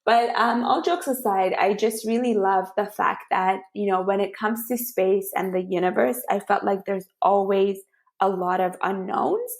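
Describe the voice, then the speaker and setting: A woman's voice: mid-range voice, normal speed, creaky. A woman from Iran, a planetary scientist, answering interview questions in a chatty, self-deprecating way over a remote call.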